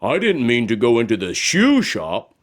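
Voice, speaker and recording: A man's voice: medium pitch, huge pitch swings, moderate pace. Man voicing a friendly storybook bear, emphatic and swooping. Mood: sheepish explaining. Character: talking bear, male, apologetic and friendly. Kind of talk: storybook character voice.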